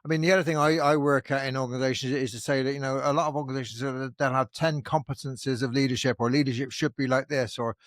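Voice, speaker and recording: Male podcast host, rapid and animated delivery, lots of restarts, dry close sound. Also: medium pitch, dry recording. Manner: animated, with frequent restarts and fillers. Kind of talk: casual conversational interview.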